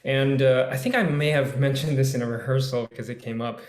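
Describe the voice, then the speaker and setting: A man speaking reflectively in a panel discussion, halting and circling back as he thinks aloud, like an actor sharing a personal view. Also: medium pitch, fairly even intonation. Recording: roomy, echoey video call sound.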